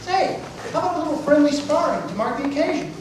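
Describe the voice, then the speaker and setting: A woman's voice: high voice, sing-song, fast talker. A woman playing a boisterous, boastful tiger in a live amateur stage play, with a theatrical, exuberant manner. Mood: playful, hearty. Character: boisterous, boastful, lucky tiger of Chinese zodiac. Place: live performance in a roomy indoor space. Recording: echoey noisy hall from far off.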